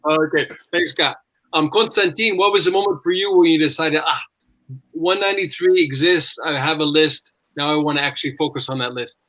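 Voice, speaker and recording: Male moderator for a web panel, slightly high pitch, chatty, stops and starts. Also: brisk, bright, forceful tone. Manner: friendly, asks questions with repeated restarts. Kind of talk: hosting an online panel.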